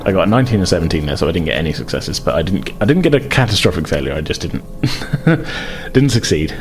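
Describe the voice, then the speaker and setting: Low-voiced man running a tabletop game. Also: big pitch swings, fast talker. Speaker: game master. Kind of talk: improvised tabletop game narration. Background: music under the voice.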